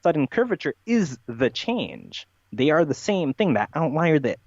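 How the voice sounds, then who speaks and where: A man's voice: on the high side, big pitch swings, moderate pace. A man in a conversational podcast discussion, explaining his views in an opinionated, emphatic way. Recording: dull, roomy, echoey podcast audio.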